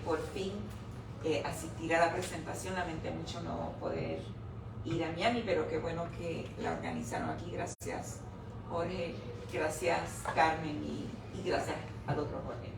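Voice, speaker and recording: A woman's voice: deep voice, sing-song, unhurried, vocal fry. A middle-aged woman, standing to present her research chapter to an audience at a book presentation, explaining it step by step. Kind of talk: academic talk at a book presentation. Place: room at a live presentation event. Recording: echoey room, distant mic, background noise.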